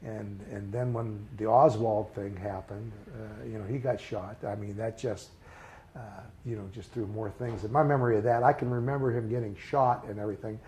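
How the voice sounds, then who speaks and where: Halting older man, soft-spoken and mellow. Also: lowish voice, steady tone, a little hiss or hum. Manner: halting, many fillers and restarts, reflective. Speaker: interviewee. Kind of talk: recalled personal memories in a casual interview.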